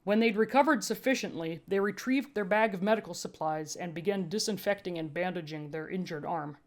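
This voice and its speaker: A woman's voice: fairly low pitch, brisk. A woman reading a first-person story aloud as scripted audiobook narration. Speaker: fan fiction audiobook reader.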